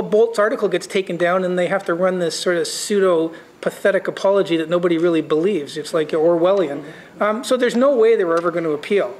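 A man on a conference law panel, talking bluntly and emphatically and firing off rhetorical questions. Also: high voice. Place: conference room. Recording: roomy echo.

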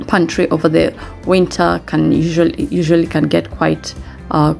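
A middle-aged woman narrating a casual home vlog in a halting, hesitant way, pausing often. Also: low voice, gravelly, a little mellow. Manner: hesitant, halting delivery with frequent pauses. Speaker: home renovation vlogger.

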